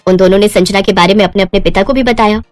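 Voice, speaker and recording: A woman's voice: mid-range voice, steady tone, quick pace. A woman storyteller narrating a scripted moral story.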